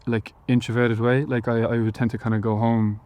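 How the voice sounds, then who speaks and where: A man's voice: mid-range voice, level tone, fairly quick, a little mellow. A young man from Galway with an Irish accent, answering interview questions hesitantly with many fillers and restarts. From Ireland, Galway. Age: young adult. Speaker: musician guest.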